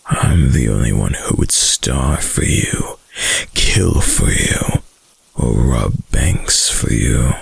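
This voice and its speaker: An extremely low, breathy wolf-boy boyfriend, played by a man, murmuring close to the mic in an intimate ASMR roleplay. He is dominant, doting and possessive, drawing his words out slowly with a heavy gravelly fry. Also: darkish tone, no room sound, heavily acted. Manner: slow, murmured, cooing and possessive. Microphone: close, dry microphone.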